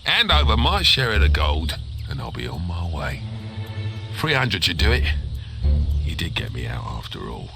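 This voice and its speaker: A man's voice: low-pitched, huge pitch swings, moderate pace, creaky, long pauses, music in the background, heavily acted. A man playing a curt, mercenary rogue in a fantasy video game, speaking grudgingly.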